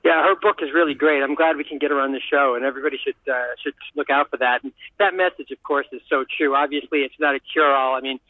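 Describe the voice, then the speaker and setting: A man calling in as a magazine editor, enthusiastic and rapid, full of fillers. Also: mid-range voice, steady tone, no room sound. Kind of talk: casual radio chat. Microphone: phone line. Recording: tinny phone line.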